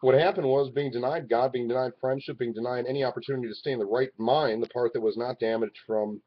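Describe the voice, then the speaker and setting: A man in his forties talking to a webcam in his living room, rambling and swinging from loud outbursts to low mutters. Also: fairly even intonation, quick pace. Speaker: vlogger. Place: living room at home, indoors. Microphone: webcam at arm's length. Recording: dull lo-fi webcam audio.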